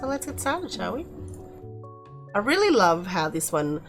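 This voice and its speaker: A woman's voice: medium pitch, big pitch swings, unhurried, over music. A woman hosting a casual game review, talking in a chatty, appreciative way.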